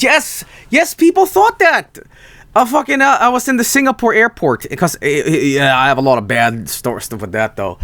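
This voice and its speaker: A man's voice: medium pitch, fairly bright tone, no room sound. A young man from Sweden, telling rambling stories on a casual stream, swearing freely and restarting his sentences.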